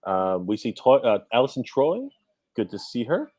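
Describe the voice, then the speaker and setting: A man co-hosting a live show in casual chat, excitable, often repeating and tripping over his words. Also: medium pitch, moderate pace, husky, dry recording. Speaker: guest co-host.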